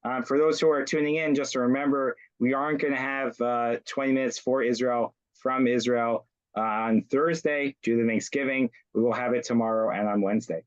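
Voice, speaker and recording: Man hosting, halting, on dull lo-fi audio. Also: medium pitch, steady tone. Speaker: rabbi, host. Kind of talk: online community interview. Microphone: video call.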